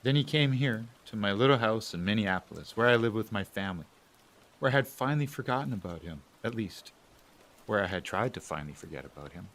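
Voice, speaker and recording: Man acting bitter family man, lowish voice. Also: expressive, fairly quick, a bit bright, rough recording. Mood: weary, resentful. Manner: sardonic. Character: bitter, overworked family man resentful of his brother. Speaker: actor. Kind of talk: audio drama acting, dialogue and narration to the audience.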